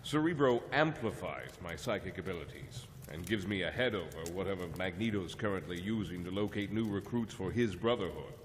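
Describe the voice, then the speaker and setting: An actor playing a wise, calm telepath mentor who speaks in a measured, lecturing way. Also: male voice, low voice, a little hiss or hum. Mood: grave. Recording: long reverb over the voice. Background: music score.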